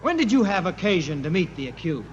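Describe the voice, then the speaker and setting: An actor in a 1950s radio drama playing a formal, probing courtroom prosecutor. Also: male voice, high-pitched, big pitch swings, fast talker, muffled recording, a little hiss or hum. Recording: long hall reverb, music behind.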